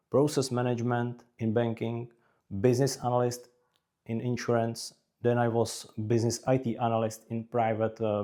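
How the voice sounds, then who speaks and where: A man from the Czech Republic with a Czech accent, narrating scripted career advice in measured, deliberate short phrases. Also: fairly low pitch, a little mellow. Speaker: career advice video creator.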